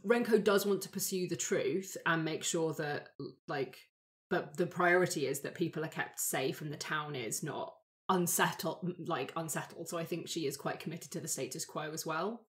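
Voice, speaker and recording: A woman's voice: low voice, normal speed. A woman playing a tabletop roleplaying game, thoughtfully working out character ideas aloud in casual conversation. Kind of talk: casual collaborative character discussion.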